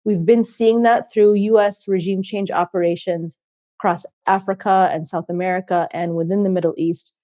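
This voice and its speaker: A woman hosting an interview, speaking in an impassioned, measured way with frequent fillers. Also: mid-range voice, steady tone, warm tone, dry recording. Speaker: host. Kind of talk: interview discussion.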